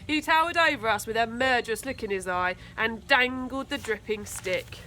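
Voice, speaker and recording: A woman narrates a children's story expressively. The voice is fairly high and very bright, with a little background noise. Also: moderate pace. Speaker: school teaching assistant reading aloud.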